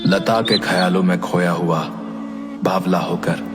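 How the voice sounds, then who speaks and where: Guy doing a lovesick cartoon ghost, menacing, really low drawn-out voice, music under it. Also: fairly even intonation, big-room sound. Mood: brooding. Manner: slow, deliberate, menacing delivery. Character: menacing male ghost, lovesick and obsessed suitor. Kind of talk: Hindi animated horror story acting.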